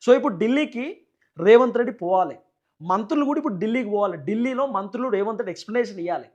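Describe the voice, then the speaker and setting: A man's voice: high-pitched, expressive, racing, darkish tone, lo-fi sound. A man with a Telangana Telugu accent giving emphatic, repetitive political news commentary as a channel host, often in rhetorical questions.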